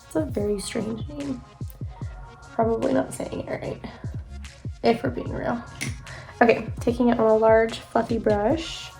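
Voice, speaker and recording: A woman's voice: fairly high pitch, steady tone, unhurried, creaky, long pauses, warm tone, faint music behind, poor audio. A young woman vlogger chatting casually through a makeup tutorial, sounding a little congested from allergies. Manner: chatty, rambling, self-correcting. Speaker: beauty vlogger.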